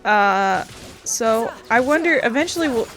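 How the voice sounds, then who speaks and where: A woman's voice: a little high, expressive, a little slow, fairly bright tone. A woman giving casual video game playthrough commentary, rambling and thinking aloud. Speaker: gaming streamer. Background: game music.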